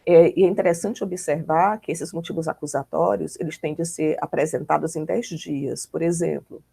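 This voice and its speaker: A Brazilian woman lectures in a didactic but conversational manner, with frequent tag questions. Her voice is mellow and dark, with a gravelly creak running through it. The sound is close and dry. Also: deep voice, fairly even intonation, normal speed, no echo. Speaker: law lecturer. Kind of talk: informal online lecture explaining with examples.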